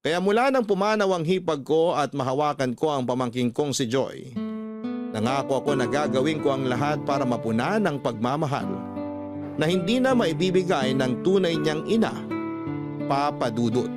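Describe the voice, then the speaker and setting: A man narrating as a radio story host, in a scripted, sentimental style with measured phrasing. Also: on the high side, lively intonation, over loud music. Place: dry studio with soft music behind.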